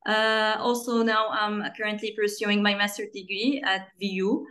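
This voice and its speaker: A young woman from Tunisia introduces herself on a video call, halting and full of uhs. Her voice is bright and sharp. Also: mid-range voice, fairly even intonation, faint music behind. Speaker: master's student.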